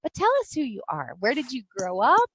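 A woman's voice: fairly high pitch, wildly swooping pitch, a little fast, breathy edge, no echo, clean studio sound. A woman hosting a live-streamed talk show, chatty and bubbly, greeting viewers by name and plugging links. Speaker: podcast host.

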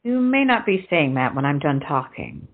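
A woman's voice: deep voice, sing-song, moderate pace, narrow, tinny audio, heavily acted. A woman playing a blunt, outspoken, loyal friend who scolds theatrically in a period stage comedy. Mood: scolding.

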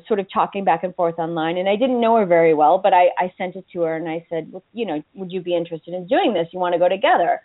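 A woman's voice: lowish voice, no room sound. A talkative woman with a Boston accent hosts a call-in style radio chat, laughing easily and tripping over her own restarts. Her voice comes through a tinny phone line. The sound is dry and close, with no room around it. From Boston, USA. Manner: chatty, laughs often, many restarts. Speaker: radio show host. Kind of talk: casual chat.

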